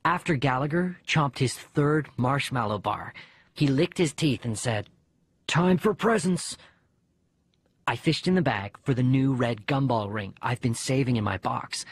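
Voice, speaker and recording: A man reading a first-person children's story as an audiobook narrator, with a husky voice. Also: mid-range voice, normal speed, dry recording. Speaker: audiobook reader.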